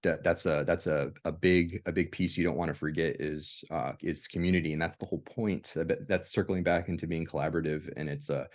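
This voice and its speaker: Very deep-voiced, halting man. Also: steady tone. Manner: halting, hesitant, circles back to rephrase. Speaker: host of a veterans' discussion group. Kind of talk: casual reflective group discussion.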